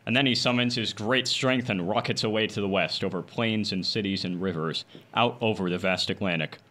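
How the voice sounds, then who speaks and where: A man's voice: on the low side, brisk, fairly bright tone. A man narrating as announcer of an old-time radio adventure serial, in a dramatic scripted style.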